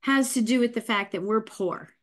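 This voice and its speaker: A middle-aged woman, a podcast guest, telling her life story in a candid, folksy, animated way. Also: a little high, moderate pace. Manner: animated, folksy, mimics voices in her stories.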